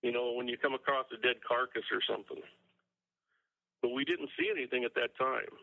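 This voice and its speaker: Gravelly man recounting a personal story. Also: mid-range voice, flat delivery, a little fast, phone-quality sound. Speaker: podcast guest, eyewitness. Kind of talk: spontaneous storytelling.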